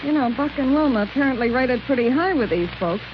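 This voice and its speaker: A woman's voice: high-pitched, expressive, fast talker. An actress playing a shrewd female accomplice to a space villain, cool and questioning, in 1930s radio serial style. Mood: conspiratorial. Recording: noisy, dull 1930s radio recording.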